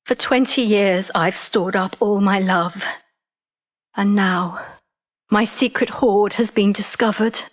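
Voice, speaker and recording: A woman's voice: medium pitch, steady tone, slow. A woman playing a devoted, playful, possessive British lover in an audio drama, speaking tenderly. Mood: tender, earnest.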